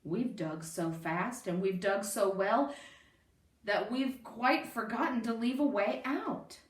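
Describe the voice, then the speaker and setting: A woman's voice: medium pitch, slow, natural voice. A woman voicing a confident, boastful steam shovel workman in a picture-book reading. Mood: matter-of-fact. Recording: roomy, distant microphone.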